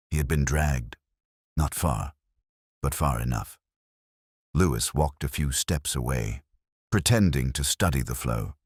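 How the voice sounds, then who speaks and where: Man playing world-weary male inspector, extremely deep voice, expressive intonation, solemn measured detective drama narration, introspective, melancholy. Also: no room sound, studio quality, heavily acted. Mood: grave, reflective. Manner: solemn, literary, measured phrasing. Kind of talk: first-person scripted detective drama narration.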